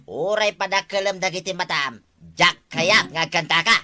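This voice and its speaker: A man's voice: high-pitched, normal speed, pushed and bright, faint music behind. A man playing a stubborn, argumentative village man in an Ilocano comedy drama, drawling with an Ilocano accent. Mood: dismissive. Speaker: actor in comedy skit.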